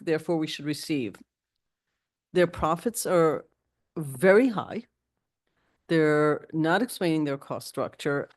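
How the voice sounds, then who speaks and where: A woman's voice: deep voice, darkish tone. A woman speaking at a village board meeting, starting hesitantly and growing indignant and emphatic, while losing her voice. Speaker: village official presenting a resolution. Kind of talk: public meeting remarks.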